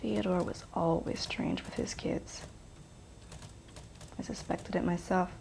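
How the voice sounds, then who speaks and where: Bitter, challenging ex-girlfriend in a police drama; creaky mid-range voice, sardonic, faint noise behind. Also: fairly low pitch, fairly even intonation, slow pace, a little mellow, heavily acted. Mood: insinuating. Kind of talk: naturalistic film acting.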